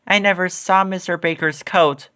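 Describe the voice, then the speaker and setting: High-voiced clever schoolgirl sleuth, played by a man. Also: steady tone, moderate pace, sharp tone, clean tone. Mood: thoughtful. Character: clever, observant young schoolgirl detective.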